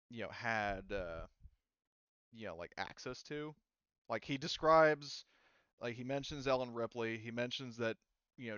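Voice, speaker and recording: A man running a tabletop roleplaying game, explaining things in a halting, casual, conversational way. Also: mid-range voice, fairly bright tone, smooth, dry recording, lo-fi sound. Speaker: game master.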